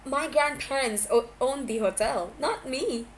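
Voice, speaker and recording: A woman's voice: sits high, unhurried, pitched above the performer's own voice. A woman voicing a giggly, defensive young man in an amateur audiobook, stammering as the character gets flustered. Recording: clearly noisy amateur home recording.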